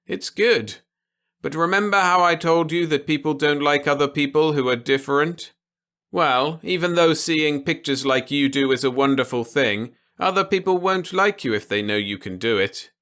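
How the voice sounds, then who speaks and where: A gentle old grandfather from a storybook, acted by a man. He speaks protectively and patiently, explaining things in simple words to a small child. The voice has a forward, bright edge. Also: fairly high pitch, moderate pace, voice pushed up, natural voice. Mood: gentle, reassuring. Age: older.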